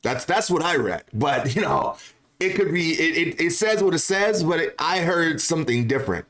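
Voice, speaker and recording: A man hosts a casual opinion video in a voice that sits a little higher than average for men. He talks in an informal commentary style and repeats short phrases for emphasis. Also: animated, moderate pace, a bit bright. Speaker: video creator and host. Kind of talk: casual opinion commentary on gaming news, partly reading aloud.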